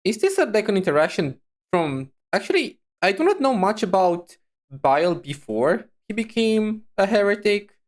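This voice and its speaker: A streamer giving casual reaction commentary in an animated, rambling, giggly way into a close microphone. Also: medium pitch, moderate pace.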